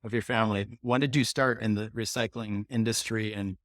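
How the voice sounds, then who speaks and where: Flat-voiced male interviewer. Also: fairly low pitch, a little fast, dry recording. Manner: encouraging, short affirmations. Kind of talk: conversational interview questions.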